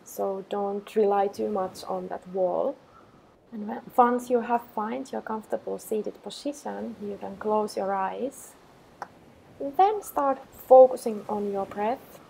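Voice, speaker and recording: A woman teacher leading a guided meditation in a calm, unhurried manner, giving simple instructions with gentle pauses. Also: medium pitch, creaky, slight background noise, poor audio.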